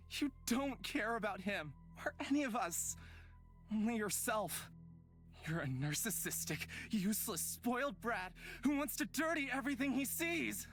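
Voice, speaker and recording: A woman's voice: medium pitch, natural voice. A woman playing a hot-headed, spiteful young fantasy character in a visual novel, with an intense, dramatic delivery. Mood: bitter contempt.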